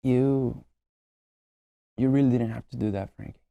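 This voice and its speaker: A man playing a gentle, conciliatory young man in a drama table read. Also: mid-range voice, steady tone, moderate pace, gravelly, no room sound, phone-quality sound, lightly acted. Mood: soothing.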